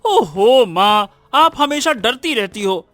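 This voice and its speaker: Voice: medium pitch, lively intonation, slow, fairly bright tone, faint music behind. A performer voicing Raju, an impulsive, emotional young son in a cartoon moral story, often repeating words. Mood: irritated. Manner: stammering, repeats words when anxious. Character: Raju, greedy boastful young man turned desperate, remorseful son.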